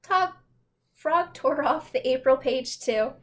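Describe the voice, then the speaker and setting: A woman's voice: high-pitched, slow pace, a bit bright. A woman narrating a children's storybook aloud as a scripted read-aloud. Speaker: storybook reader.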